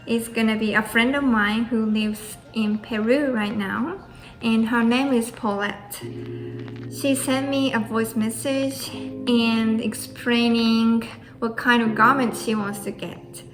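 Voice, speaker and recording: A woman from Japan introducing her channel as host, speaking slowly and carefully in a friendly way. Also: a little high, steady tone, poor audio. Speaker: host, pattern maker. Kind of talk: scripted intro to camera. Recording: music playing under voice.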